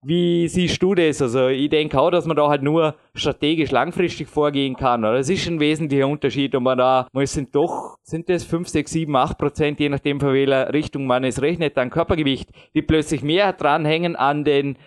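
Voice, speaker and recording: A man's voice: on the high side, steady tone, brisk, few pauses. A man in his late thirties talking at length in a casual chat, rambling and self-deprecating with frequent chuckles. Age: 30s. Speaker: podcast host and competitive climber.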